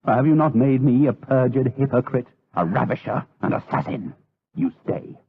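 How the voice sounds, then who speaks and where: A man's voice: mid-range voice, lively intonation, normal speed, husky. A man playing a villainous, guilt-ridden monk in a gothic audio drama, commanding and anguished in a melodramatic way. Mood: menacing, tormented. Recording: tinny band-limited old radio drama.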